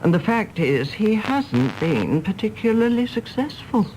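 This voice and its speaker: A woman's voice: medium pitch, very animated, unhurried, a little mellow, a little hiss or hum, rough recording. An actress playing an outspoken elderly English mother, emphatic and genteel, affectionate but exasperated, in British radio drama style. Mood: exasperated concern. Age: older.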